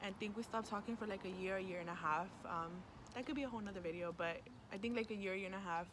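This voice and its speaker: A woman in her twenties co-hosts a casual vlog in a mid-pitched voice. She talks in slang with swearing and drops in Spanish. The outdoor recording has clear ambient noise. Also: quick pace. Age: 20s. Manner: slangy, profane, slips into Spanish. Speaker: vlog co-host. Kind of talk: casual chat answering questions. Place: outdoors by a parking area.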